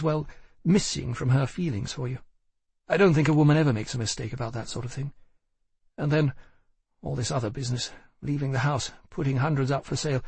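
A soft-spoken, sympathetic woman pleads her case kindly in an audiobook, her voice pitched up with a gravelly, husky grain that shows a man is reading her. She sounds regretful and earnest throughout. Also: dry recording, full character voice.

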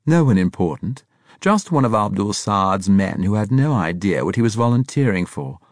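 A flamboyant older spy from an audiobook thriller, pitched well above a deep male register. He stammers on his consonants and sounds airy, arch and smugly cheerful, with a treacherous edge. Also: very animated, unhurried, darkish tone, dry recording, studio quality, full character voice. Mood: airy teasing. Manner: airy, arch, condescending, effusive.

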